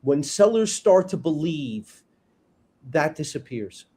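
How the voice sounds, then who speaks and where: Blunt New York man, higher voice. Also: slow, breathy edge. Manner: blunt, opinionated, animated storytelling. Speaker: sales trainer guest. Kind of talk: casual panel chat.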